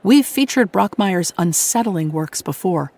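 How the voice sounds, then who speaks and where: Woman, radio host, scripted introduction, slightly low voice. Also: animated, a little slow, warm tone.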